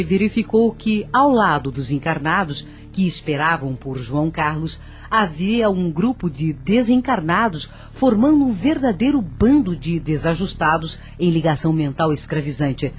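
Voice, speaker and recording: Female narrator for a radio soap, low register, storytelling over soft music. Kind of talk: scripted radio drama narration.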